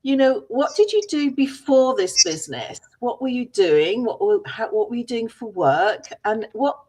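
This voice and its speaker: A woman's voice: a little high, big pitch swings, normal speed, a little mellow, poor audio. A woman hosting a live-stream interview over a video call, effusive and welcoming with her guests. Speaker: interview host.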